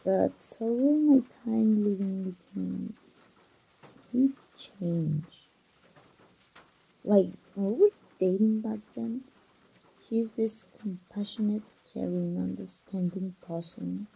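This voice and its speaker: A woman acting out a young woman talking intimately to her oldest friend in an ASMR roleplay. Her voice is very dark and soft, breathy and husky, with a gravelly fry at the edges. She confides gently and closely. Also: mid-range voice, slow pace, full character voice, low-quality recording. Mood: wistful, confiding. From Mexico. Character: engaged young woman, teasing longtime friend confiding troubles.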